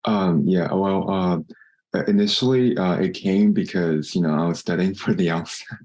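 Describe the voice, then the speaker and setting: A man answering as a writer, explaining casually and haltingly as he searches for his words. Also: low voice, a little mellow. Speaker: contributing writer answering a question.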